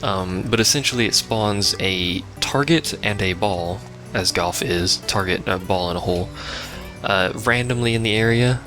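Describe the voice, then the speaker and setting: A man's voice: on the low side, normal speed. A man giving casual, rambling live game commentary as a let's play host, with wry asides. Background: video game music.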